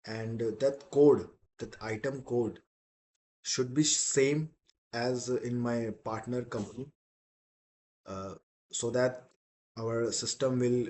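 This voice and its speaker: A man teaching in a software demo, explaining step by step in a halting, repetitive way. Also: fairly low pitch, a little hiss or hum. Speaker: software instructor.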